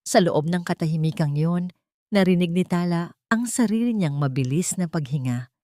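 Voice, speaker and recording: A woman's voice: on the low side, normal speed, mellow, no room sound, studio quality. A woman with a Filipino accent narrating a dramatic story from a script.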